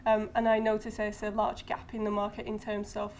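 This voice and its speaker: A woman whose first language is Finnish, speaking as a podcast guest at a conference and pausing often with thoughtful ums. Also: mid-range voice, completely flat delivery, gravelly, darkish tone, a little hiss or hum. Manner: thoughtful, hesitant with frequent ums. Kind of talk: conversational podcast interview. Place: conference venue.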